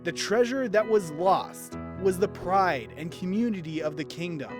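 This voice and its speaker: Man, high voice, lively swooping intonation, enthusiastic reviewer, scripted commentary, light background music. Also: unhurried. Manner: animated, enthusiastic. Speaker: cartoon episode reviewer. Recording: music behind the voice. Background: instrumental background music.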